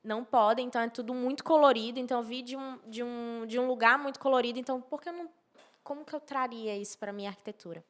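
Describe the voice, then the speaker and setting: A woman's voice: a little high, fast, fairly bright tone. A woman explaining things conversationally as a podcast guest, emphatically and repeating key phrases. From Maceió, northeastern Brazil. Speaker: podcast guest, architecture and social media professional.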